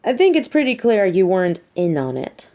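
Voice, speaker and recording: A woman playing a sardonic, scheming supervillain in a scripted audio roleplay, with a theatrical, measured, wry delivery and grudging sympathy. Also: medium pitch, big pitch swings, dark tone. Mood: probing, intrigued. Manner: theatrical, measured, wry, drops into muttered asides.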